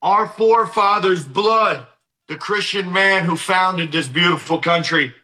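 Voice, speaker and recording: A man's voice: sits high, a little slow, pushed and bright, echoey room, rough recording. A man appearing as a guest activist in an interview, speaking in a fiery, declamatory way.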